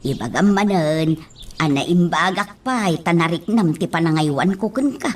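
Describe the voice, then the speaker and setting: Low-pitched, motherly older nanny. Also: expressive, normal speed. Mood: fond, chiding. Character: devoted motherly female nanny, older, gently chiding. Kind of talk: Ilocano radio drama acting.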